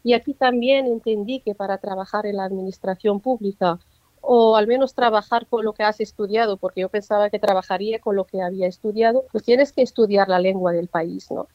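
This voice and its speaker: Woman author, slow thoughtful answers, dry slightly muffled sound. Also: mid-range voice, no echo. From North Africa. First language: Amazigh. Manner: measured, often tags "¿no?". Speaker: author, interview guest. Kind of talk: reflective interview answers.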